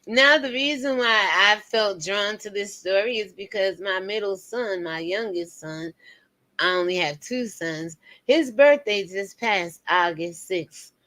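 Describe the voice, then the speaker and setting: A woman's voice: medium pitch, a little slow, pushed and bright. A woman in her fifties hosting a casual commentary show, chatting to viewers with preachy asides. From United States. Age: 50s.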